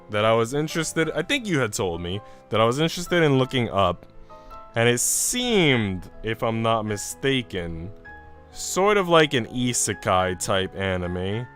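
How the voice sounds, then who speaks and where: A man with a smooth, clear voice, livestreaming as he reads a visual novel aloud and chats casually. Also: medium pitch, fairly bright tone. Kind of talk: livestream narration and casual chat.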